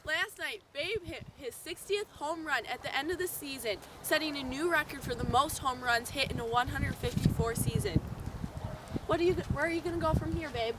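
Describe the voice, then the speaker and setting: Echoey, music behind. 1920s roving reporter played by a woman, high voice, scripted amateur interview style. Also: moderate pace, heavy background noise, lightly acted. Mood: upbeat. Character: bright-mannered female 1920s field news reporter. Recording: roomy distant mic, music behind.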